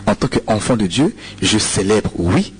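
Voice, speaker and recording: A man's voice: fairly low pitch, sing-song, a little slow, breathy edge. A man from Congo with a Congolese French accent, a radio preacher answering listener questions, hesitant, with many repeated words and fillers. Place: radio studio.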